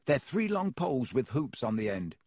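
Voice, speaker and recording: A man reading an audiobook, voicing a young schoolboy who explains things flatly and patiently, sounding resigned. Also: mid-range voice, moderate pace, no room sound, studio quality. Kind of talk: audiobook character voice.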